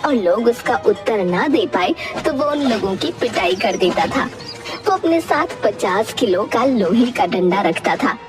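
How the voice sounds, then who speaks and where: A woman's voice: medium pitch, lively intonation, measured pace, distant mic, loud music behind the voice. A woman narrating a scripted cartoon story as the storyteller.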